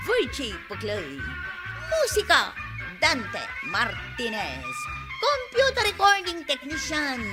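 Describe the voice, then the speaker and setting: A woman reading out the opening credits of a radio drama as its announcer. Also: high voice. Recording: loud music under the voice.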